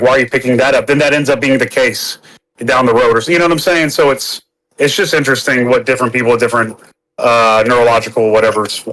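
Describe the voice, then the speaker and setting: A man from Texas with a Southern accent, talking in a casual podcast conversation, halting and restarting often and swearing casually. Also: medium pitch, fairly bright tone, low-quality recording. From Texas, United States. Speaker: podcast participant.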